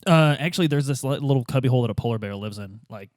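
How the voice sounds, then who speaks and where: A man's voice: mid-range voice, very fast, darkish tone, no echo, clean studio sound. A man improvising as a detective in a tabletop game, casual, hesitant and slangy. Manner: hesitant, slangy, laid-back. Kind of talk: casual improvised role-play.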